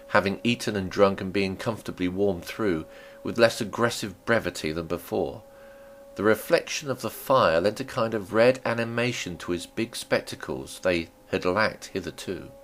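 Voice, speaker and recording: A man's voice: low-pitched, moderate pace, a bit bright, a little hiss or hum. A man reading a classic story aloud as a dramatic audiobook narrator.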